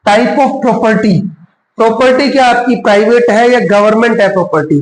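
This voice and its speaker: A man leads a casual step-by-step tutorial. His voice sits quite high for a man. He explains each step and slips into English terms, and the room adds a clear echo around him. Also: normal speed. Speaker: tutorial host. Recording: roomy, echoing voice recording.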